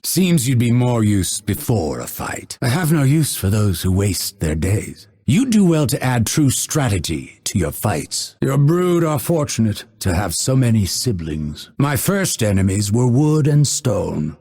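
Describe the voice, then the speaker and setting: An actor playing a proud, cold, vengeful assassin in a video game, with a husky voice and measured, haughty, menacing delivery. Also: male voice, fairly low pitch, darkish tone, exaggerated voice. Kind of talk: scripted game voice lines, theatrical delivery.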